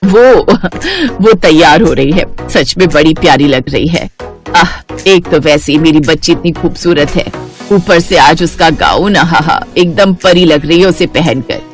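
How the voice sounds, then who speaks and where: Woman voicing a low, scheming stepmother. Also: a little slow, soft background music. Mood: gushing. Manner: sly, bossy, coaxing. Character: scheming, contemptuous middle-aged stepmother. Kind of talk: Hindi cartoon story character acting.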